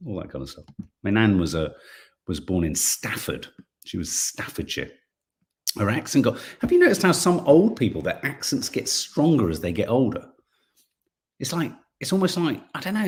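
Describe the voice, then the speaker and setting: Male host, casual live-stream chat, reads comments aloud and stumbles now and then, lively, up-and-down delivery. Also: fairly low pitch. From English Midlands. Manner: chatty, reads comments aloud, trips over words.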